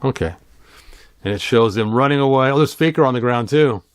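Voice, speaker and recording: A man's voice: mid-range voice, fairly quick, clean tone, dry recording, studio quality. A middle-aged man giving a casual, playful, rambling read-along commentary as the host. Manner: playful, rambling, self-amused, adds sung sound effects. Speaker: host of a toy and storybook channel.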